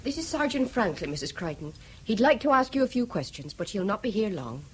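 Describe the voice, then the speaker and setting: An actress playing a firm, protective hospital nursing sister, businesslike, in a radio drama. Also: female voice, mid-range voice, very animated, brisk, close to an everyday voice. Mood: firm, brisk. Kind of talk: naturalistic radio drama acting. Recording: radio drama with faint noise.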